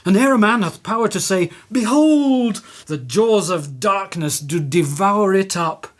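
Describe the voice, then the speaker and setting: A woman plays an ardent young man in love in a Shakespearean radio play. The verse comes slowly and proudly, with lively, swooping intonation and romantic, declamatory weight. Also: mid-range voice, close to an everyday voice. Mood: rueful, poetic. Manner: declamatory, poetic verse delivery.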